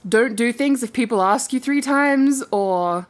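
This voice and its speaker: Playful giggly woman reading stories for her channel, kinda high voice, casual. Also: unhurried. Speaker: host of a story-reading channel. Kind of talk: casual storytelling to camera.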